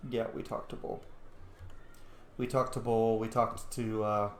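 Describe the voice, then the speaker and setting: A man's voice: lowish voice, flat delivery, unhurried. Chatty male streamer in an echoey room. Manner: chatty, self-correcting, playful. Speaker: video game streamer. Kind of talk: casual gameplay commentary.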